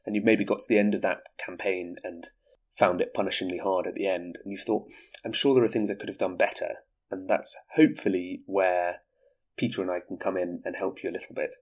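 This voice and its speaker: A man's voice: low-pitched, fairly even intonation, fairly quick, a little mellow. A man hosting a casual podcast chat, sounding a little congested from a cold and explaining things at length. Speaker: podcast host.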